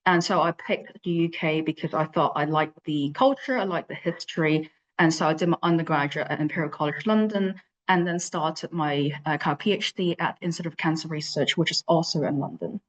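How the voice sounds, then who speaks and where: Woman from Hong Kong, thirties, deep voice, hesitant conference speaker with many fillers. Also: fairly quick, highs cut off, slight background noise. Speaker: invited speaker, lab leader. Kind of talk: virtual conference talk.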